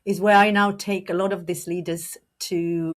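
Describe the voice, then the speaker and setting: A woman from Romania speaks in a slightly low, steady voice. She answers interview questions fluently, with many small restarts and fillers. A slight cough sits in her speech. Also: moderate pace. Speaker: leadership coach and guest.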